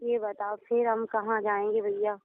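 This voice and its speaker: A woman's voice: medium pitch, flat delivery, a little slow, no echo. A woman speaking earnestly on the phone in a deferential, pleading manner, readily agreeing with the other person. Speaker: religious storyteller. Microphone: phone call. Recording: narrow tinny phone call recording.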